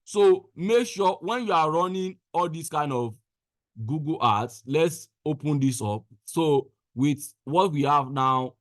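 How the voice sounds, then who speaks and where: A man presenting a screen-share software tutorial in an instructional way, repeating phrases for emphasis. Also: on the high side, animated, takes it slowly, no room sound. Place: indoors, voice-over on a screen recording.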